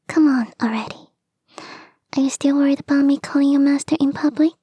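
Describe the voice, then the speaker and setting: Playful, devoted fox girl, played by a woman: slow, soft, mellow whisper, intimate ASMR roleplay, close dry mic. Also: high voice, dry recording. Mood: coaxing, reassuring. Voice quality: breathy, whispery. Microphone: close microphone, dry.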